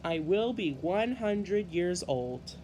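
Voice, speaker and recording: Give me a man reading as a proud, cheerful, very old storybook gardener, speaking slowly with faint noise behind. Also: high-pitched, expressive, a little mellow, low-quality recording.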